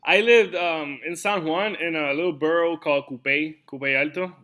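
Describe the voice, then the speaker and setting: An upbeat male host leads a relaxed interview, reacting with enthusiasm and quick laughter. His voice is bright and sits a little high for a man. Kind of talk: casual interview conversation.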